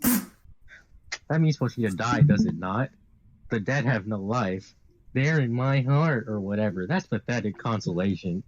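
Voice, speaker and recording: A man's voice: fairly low pitch, lively intonation, unhurried. A man voicing a haughty, scornful female devil in an amateur anime fan dub, recorded in dull lo-fi sound.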